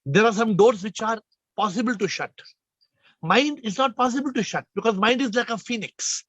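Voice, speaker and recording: A man with a fairly high voice leads a spiritual talk over a video call. He is emphatic, repeats himself and puts questions to listeners. The sound is dry and a little muffled. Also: normal speed, a bit bright, no echo. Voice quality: slightly low, subdued voice today. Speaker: spiritual teacher hosting a call.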